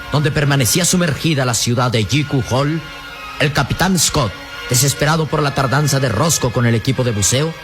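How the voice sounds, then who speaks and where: A man narrates a radio serial in Latin American Spanish. His delivery is dramatic and scripted, at a medium pitch. Clear background music plays under his voice.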